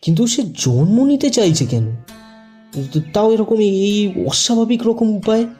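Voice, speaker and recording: Male actor as troubled husband, bewildered, fairly high soft-toned voice, questioning, horror audio drama with music. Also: lively intonation, a little slow. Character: anxious, bewildered husband seeking help for wife. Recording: background music under the voice.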